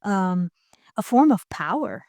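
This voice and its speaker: A woman's voice: mid-range voice, sing-song, takes it slowly, darkish tone, dry recording, studio quality. A woman from the United States, a podcast guest speaking in a thoughtful, hesitant way with many restarts. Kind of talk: reflective interview talk.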